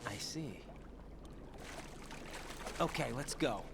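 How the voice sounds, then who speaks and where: A calm young male operative from a video game. He speaks quietly and evenly, and sounds reassuring. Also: mid-range voice, drawn-out words, creaky, background noise, full character voice.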